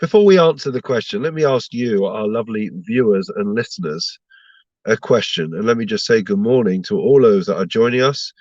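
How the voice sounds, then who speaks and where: Low-voiced guy with a blocked nose teaching a torah class online, asks lots of questions, dry dull sound. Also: animated, fairly quick, no room sound. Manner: warm conversational teaching, rhetorical questions. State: blocked up with a cold. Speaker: rabbi giving a Torah class. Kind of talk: online Torah lesson to viewers.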